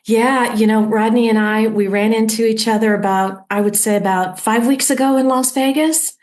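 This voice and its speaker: A middle-aged woman hosting a podcast interview, talking in a friendly, halting way with many fillers and restarts. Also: a little high, steady tone, darkish tone. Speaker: podcast host. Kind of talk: casual interview.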